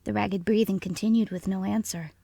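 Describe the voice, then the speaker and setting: A woman's voice: mid-range voice, fairly even intonation, a little fast, gravelly, warm tone, no echo. A woman narrating a scary story in the first person, reading from a script.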